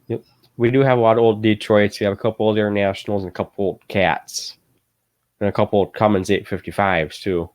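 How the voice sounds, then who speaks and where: A young man with a fairly low voice joins a livestream as a guest and chats casually. He tells stories in a laid-back way and answers with short agreeing replies. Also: steady tone, a little fast, darkish tone. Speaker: guest, ag class student.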